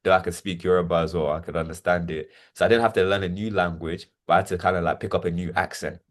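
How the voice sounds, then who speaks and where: Man, 20s, from Nigeria; low-voiced, halting testimony. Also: fairly even intonation. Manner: hesitant, repeats words, many fillers. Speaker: interview guest. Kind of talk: reflective personal testimony.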